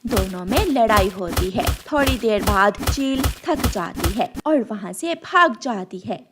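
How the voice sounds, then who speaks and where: A woman narrating a scripted children's story in an expressive storytelling style. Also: medium pitch, unhurried, noisy background.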